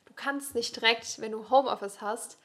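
A young woman with a slightly high voice. She chats like a podcast co-host with a friend, in loose everyday phrasing, often tacking on a short question to seek agreement. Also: moderate pace. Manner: informal, colloquial, seeks agreement with tag questions. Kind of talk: casual chat.

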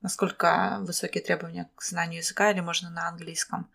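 A woman's voice: fairly low pitch, little pitch movement, quick pace, vocal fry, a bit bright, breathy edge. A woman hosting a podcast interview, asking long questions and giving frequent backchannel nods. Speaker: podcast host. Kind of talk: casual interview chat.

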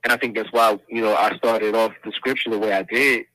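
Earnest, bright-voiced man in his forties. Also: fairly low pitch, steady tone, no echo, poor audio. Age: 40s. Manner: measured, earnest, with religious phrasing. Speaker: guest. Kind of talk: casual podcast chat.

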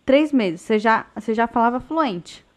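A high-voiced woman co-hosts a casual live chat, cutting in with quick remarks and teasing the others. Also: expressive.

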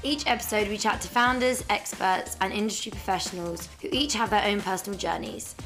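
A woman's voice: medium pitch, a little fast, a bit bright, low-quality recording. Woman podcast host over faint music. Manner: friendly, hesitant with ums when asking. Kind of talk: scripted intro then casual interview.

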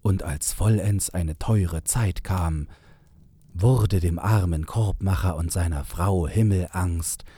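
A man with a husky voice narrating a fairy tale in a measured, unhurried way. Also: sits low, warm tone, no room sound. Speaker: storyteller, narrator.

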